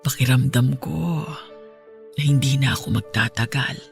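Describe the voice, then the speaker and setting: An actress playing a weak, gentle, ailing Filipino nanny in a radio drama, weary and resigned. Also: female voice, extremely low pitch, moderate pace, gravelly, faint music behind.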